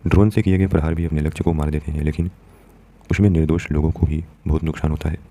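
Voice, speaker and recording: News explainer presenter: Indian male, brisk pace, very dark mellow tone, instructive commentary delivery. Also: extremely low pitch, fairly even intonation. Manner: didactic, addresses listeners directly as friends. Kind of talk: explanatory commentary, reading out an editorial.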